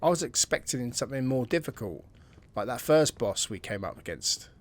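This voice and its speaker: A man's voice: mid-range voice, sing-song, moderate pace. A man giving casual let's-play commentary, musing and thinking aloud, sometimes dropping to a near-whisper. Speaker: gameplay commentator.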